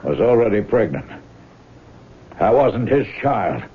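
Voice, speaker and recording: A man acting a stoic, plain-spoken farmhand and older brother in a 1970s radio drama, halting and guarded. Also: lowish voice, sing-song. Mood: bitter. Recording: noisy phone-like old radio broadcast.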